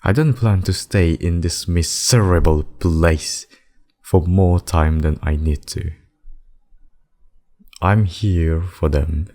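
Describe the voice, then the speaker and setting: A man's voice: sits low, slow pace, dark tone. A man playing a possessive, smug demon king in a roleplay ASMR, courting with theatrical, courtly menace. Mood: smug, menacing.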